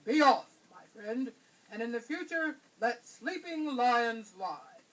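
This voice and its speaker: Proud storybook lion, acted by a woman, slow and booming, with a rising and falling voice. Recorded from a distance, with soft music under it. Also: lively intonation. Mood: magnanimous. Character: big proud male lion, amused.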